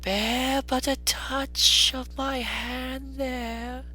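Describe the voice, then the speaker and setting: A man voicing a gentle, solemn, ageless Christmas spirit in a soft, airy voice, reading a storybook dramatically. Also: high-pitched, level tone, soft background music, pitched above the performer's own voice, exaggerated voice, rough recording. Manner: slow, drawn-out, stately delivery.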